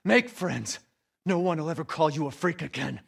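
A desperate, devoted father in a dramatic video game. His voice is raised high and swings widely in pitch, with a rough, gravelly edge and raw emotion. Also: lively intonation, moderate pace, heavily acted. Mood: pleading. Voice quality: raspy, gravelly. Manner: intense, emotional. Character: desperate, protective father guarding his grown daughter.

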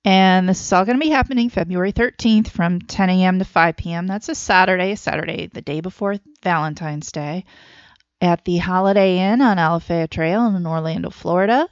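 A woman co-hosting a podcast, chatting casually in a laconic, low-key way. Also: fairly quick, no room sound, muffled recording. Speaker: podcast co-host. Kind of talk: casual chat with brief replies.

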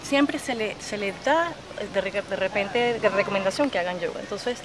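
A woman's voice: medium pitch, moderate pace. A woman in her thirties from Chile with a Venezuelan Spanish accent, a yoga instructor talking animatedly with her hands and many restarts in an outdoor interview. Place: outdoors by a park lake. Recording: outdoor noise behind the voice.

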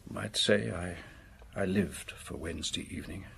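A man's voice: low voice, normal speed, gravelly, fairly bright tone, a little hiss or hum, heavily acted. A man acting in a radio drama as a lonely, anxious, respectable office worker with a hesitant, formal way of speaking. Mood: wistful.

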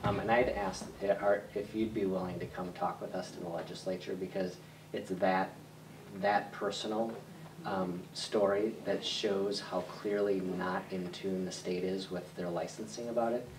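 Very deep-voiced American man, echoey room. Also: steady tone, moderate pace. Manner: hesitant, sympathetic. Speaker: board member responding to audience. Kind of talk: impromptu reply at a public meeting. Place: school library, public meeting. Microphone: handheld microphone. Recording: echoey noisy room, distant.

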